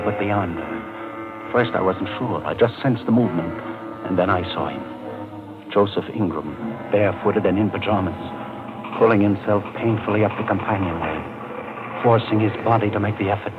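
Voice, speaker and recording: A man's voice: lowish voice, lightly acted. An actor playing a cynical ship's doctor who narrates his adventure in a wry, clipped, mid-century radio style. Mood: tense, brooding. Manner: wry, clipped, hard-boiled. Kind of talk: 1940s-50s radio acting, first-person adventure narration. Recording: muffled old radio recording, loud music.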